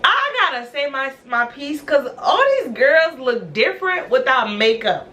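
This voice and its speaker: A woman's voice: high voice, very animated, measured pace, pushed and bright, slight background noise. A woman casually recapping a reality show, chatty and blunt with slang and frequent laughs. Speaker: recap vlogger.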